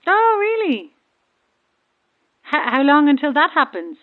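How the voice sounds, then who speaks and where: A woman's voice: sits high, big pitch swings, measured pace. A woman playing a breezy, self-deprecating boat passenger with an Irish accent in a horror audio drama, heard through a radio filter. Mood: breezy, chatty. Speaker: caller from a stranded boat. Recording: thin, narrow-band marine radio call.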